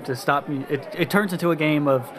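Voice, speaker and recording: A man's voice: fairly high pitch, moderate pace, crowd noise, noisy background. A man co-hosting a casual game review in a plainspoken, slightly hesitant way. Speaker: podcast co-host.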